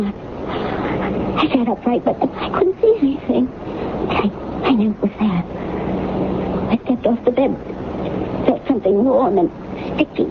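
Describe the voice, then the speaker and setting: Shaken scared woman, hesitant, slow, old radio drama, loads of noise. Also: high voice, heavily acted. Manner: halting, hesitant. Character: shaken, frightened young woman recovering from a faint. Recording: tinny, very noisy old radio recording.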